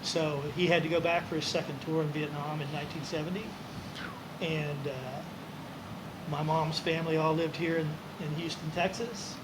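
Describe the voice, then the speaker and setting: A man in his sixties telling stories as an interview guest, relaxed, rambling and self-deprecating. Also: on the high side, moderate pace. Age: 60s. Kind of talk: casual storytelling. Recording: noisy room with air conditioning hum.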